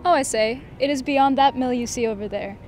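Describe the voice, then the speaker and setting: Lady putting on a little girl voice, naive and sweet, higher up, storybook reading. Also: a little fast, a little mellow, faint music behind, close to an everyday voice. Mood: innocent. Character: innocent trusting little girl.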